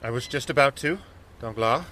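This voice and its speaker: Man playing a bright-voiced, earnest young sailor. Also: mid-range voice, normal speed, a little hiss or hum, natural voice. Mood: firm. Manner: respectful, formal, sincere. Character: earnest, dutiful young male sailor, eager and honourable. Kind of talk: audio drama acting.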